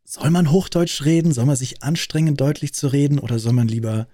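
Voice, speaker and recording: A man interviewing a fellow streamer in a relaxed, curious, chatty way. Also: medium pitch, fairly quick, dry recording, studio quality. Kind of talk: casual conversation.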